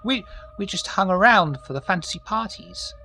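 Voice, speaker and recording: A woman's voice: medium pitch, animated, normal speed, vocal fry, sharp tone, faint music behind. A woman voicing an old, genteel vampire grandmother who gushes and talks sweetly down to people.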